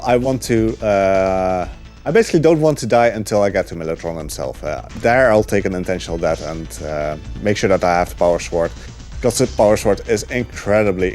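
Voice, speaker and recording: Man giving laid-back gameplay commentary. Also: low-pitched. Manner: relaxed, chatty, many fillers. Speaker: speedrunner.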